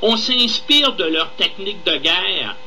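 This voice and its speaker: A man's voice: high voice, expressive, measured pace, creaky, sharp tone. A man with a Québécois French accent giving indignant, emphatic political commentary live on the radio. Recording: radio talk with faint background noise.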